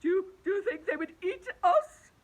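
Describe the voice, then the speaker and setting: A woman voicing a timid, fearful male earthworm who is blind, in a shrill, wailing voice for a storybook read-aloud. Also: level tone, slow, fairly bright tone. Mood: frightened.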